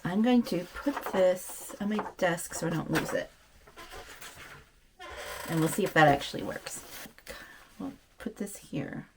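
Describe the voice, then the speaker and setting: A woman's voice: on the low side, measured pace, pauses often, noisy background. A woman chatting casually through a craft project, thinking aloud and correcting herself as she works. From United States. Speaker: craft channel host. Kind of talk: casual craft-along chat, narrating while working.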